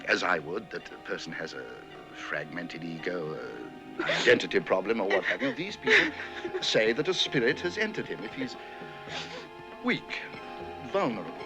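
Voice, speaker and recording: An actor playing a rational, educated lecturer, formal and measured in a film drama. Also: male voice, low voice, big pitch swings, over music. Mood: measured, explaining. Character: rational, educated male lecturer reassuring a woman.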